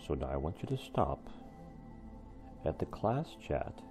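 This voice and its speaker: A man's voice: a bass voice, sing-song, a little slow, music in the background. A man reading a storybook aloud expressively, like a teacher with a class.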